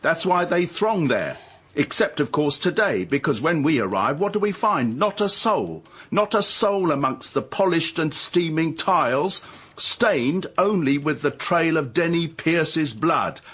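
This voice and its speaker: An actor playing a sarcastic, menacing police inspector in a radio drama, husky and English, clipped and emphatic. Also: male voice, on the high side, expressive, measured pace, fairly bright tone, heavily acted. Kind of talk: radio detective drama acting.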